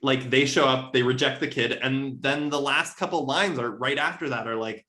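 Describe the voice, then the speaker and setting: A man's voice: mid-range voice, normal speed, sharp tone. A man in a casual literary discussion, talking emphatically and often checking in with rhetorical questions.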